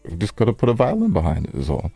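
A man's voice: fairly low pitch, wildly swooping pitch, racing, gravelly, warm tone, slightly rough, no room sound, faint music behind. An American man co-hosting a podcast in casual banter, teasing and slangy. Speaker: podcast co-host.

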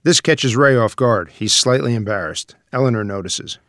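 A man's voice: medium pitch, normal speed, a bit bright, no echo, clean studio sound. A man reading stage directions as scripted narration for a screenplay.

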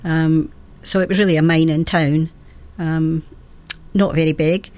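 A woman in her seventies with a soft Scottish Fife accent, chatting as a guest on local radio. Her voice sits a little low, and she pauses often with small ums and laughs between her thoughts. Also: steady tone. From Scotland. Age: 70s. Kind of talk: radio interview.

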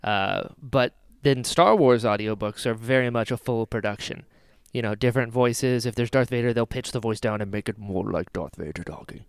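A man's voice: mid-range voice, normal speed, hardly any pauses, clean tone, dry recording. A man co-hosting a podcast in casual banter, joking and emphatic, often repeating his phrases. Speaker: podcast co-host.

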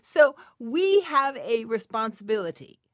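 Slow-paced woman giving a devotional talk. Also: fairly high pitch, sing-song, no echo, clean studio sound. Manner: emphatic and testimonial, repeating phrases for weight; intimate, chuckling asides to the listener. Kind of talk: devotional talk addressed to listeners.

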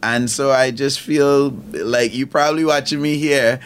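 A man from Trinidad with a softened Trinidadian accent, a guest telling stories in a casual podcast chat, animated and laughing often. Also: mid-range voice, measured pace, smooth. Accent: Trinidadian, softened toward Canadian. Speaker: guest, author.

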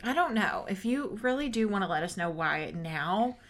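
A woman's voice: medium pitch, animated, normal speed, fairly bright tone. A woman co-hosting a casual podcast, talking loosely and often hedging her views. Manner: chatty, hedging with "I don't know". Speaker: podcast co-host. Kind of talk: casual chat.

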